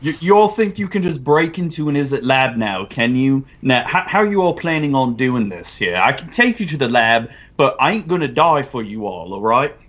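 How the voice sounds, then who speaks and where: A man's voice: medium pitch, very animated, normal speed, hardly any pauses, fairly bright tone, lo-fi sound, pitched above the performer's own voice. A man voicing a blunt, streetwise male goblin bodyguard with a put-on London accent in a tabletop game. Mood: challenging, wary. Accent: put-on London British. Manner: blunt, challenging, rough street grammar.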